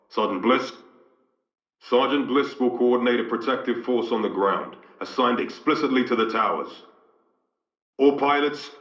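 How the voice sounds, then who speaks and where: An actor playing a stern, commanding vice admiral in a video game, giving clipped, authoritative orders over a radio comms filter. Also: male voice, medium pitch, a bit bright, breathy edge, no echo. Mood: formal, resolute. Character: stern military vice admiral, grave, commanding fleet officer. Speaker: military commander character. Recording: dull band-limited radio sound.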